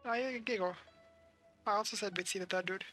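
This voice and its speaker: A woman's voice: mid-range voice, fairly quick, bright, forceful tone, soft background music. A woman game streamer giving casual commentary, musing and speculating aloud as she plays.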